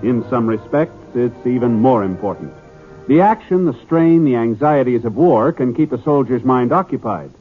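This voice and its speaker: An American man announcing on 1950s radio, reading a script in a polished, formal, deliberate manner. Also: mid-range voice, animated. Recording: narrow-band 1950s radio, music behind.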